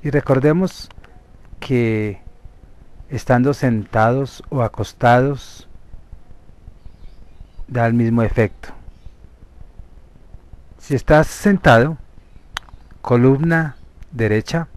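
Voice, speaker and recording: A man with a Colombian Spanish accent leading a guided hypnosis meditation as a therapist, speaking deliberately in short, separated phrases. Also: mid-range voice, a little mellow. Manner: slow, deliberate, pausing between short phrases.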